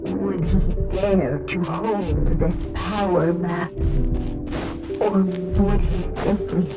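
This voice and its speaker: A woman playing an ominous, insistent storybook narrator in a video game, grave and halting in delivery. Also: lowish voice, fairly even intonation. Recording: dull, muffled sound with music.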